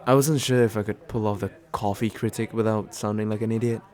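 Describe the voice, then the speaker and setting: A man playing a tender, nervous, attentive date in a soft, intimate ASMR roleplay, halting and gently self-deprecating with small stammers. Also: fairly low pitch, fairly even intonation, a faint crowd, lightly acted. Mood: warm, nervous. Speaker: voice actor in an audio roleplay.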